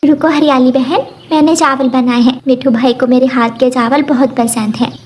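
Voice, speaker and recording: Woman as a high-voiced kind mother sparrow. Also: fairly even intonation, normal speed, darkish tone, a little hiss or hum, natural voice. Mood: gentle.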